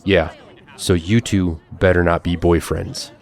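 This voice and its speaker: Gruff, low-voiced big uncle, played by a man. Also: flat delivery, unhurried. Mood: gruff, relenting. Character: large, gruff, protective uncle standing guard. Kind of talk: audio drama dialogue. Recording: crowd noise behind the voice.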